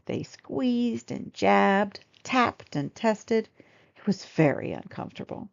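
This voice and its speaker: A woman reading a children's storybook aloud in expressive narration, on a slightly muffled recording. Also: medium pitch, slow pace, creaky. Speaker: storybook reader.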